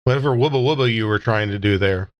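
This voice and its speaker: A man's voice: lowish voice, quick pace, lo-fi sound, slight background noise. A man playing in a tabletop audio drama, chatting casually as he plays. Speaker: player in an actual-play game. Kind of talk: casual tabletop game chat.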